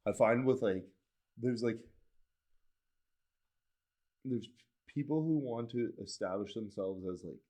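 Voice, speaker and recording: A man's voice: medium pitch, slow pace, pauses often, dark tone. A man in his thirties, a school vice principal, speaks earnestly as a podcast guest, rambling through his thoughts with lots of "like" and restarts. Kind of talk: casual interview chat.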